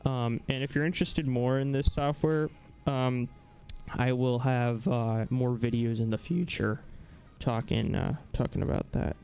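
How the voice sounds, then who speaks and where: A man hosting a casual live software demonstration, talking haltingly as he searches for words. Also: medium pitch, steady tone, gravelly. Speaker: livestream host. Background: music.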